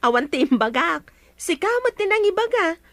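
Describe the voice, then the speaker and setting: A woman's voice: extremely high pitch, big pitch swings, slow pace, dry recording, exaggerated voice. A woman playing an indignant, defensive village woman with an Ilocano accent, exclaiming in a radio comedy drama.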